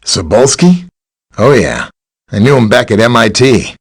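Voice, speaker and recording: A man playing a friendly, helpful scientist superhero in a video game, with a chatty, polite, explanatory way of talking and a slightly husky voice. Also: mid-range voice, lively intonation, unhurried. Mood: amused, reminiscing. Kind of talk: scripted video game character dialogue.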